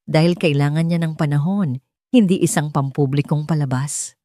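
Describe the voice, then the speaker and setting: A woman's voice: low-pitched, normal speed, dark tone, no echo, clean studio sound, natural voice. Woman voicing an imperious, angry old matriarch. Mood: indignant. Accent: Filipino. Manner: scolding, imperious. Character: angry, domineering rich old mother-in-law.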